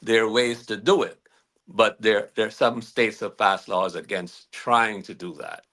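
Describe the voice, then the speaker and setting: Low-voiced halting man from Guyana. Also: fairly even intonation, sharp tone. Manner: measured, halting, with many restarts. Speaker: guest attorney. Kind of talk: explanatory interview answers.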